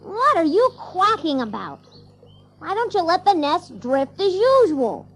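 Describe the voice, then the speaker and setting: A very high-pitched, proud and cocky boy hero from a children's audio play. The delivery is lively and takes its time. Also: a little slow, a little hiss or hum, full character voice. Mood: indignant. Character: Peter Pan, proud cocky young boy. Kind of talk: children's audio drama acting.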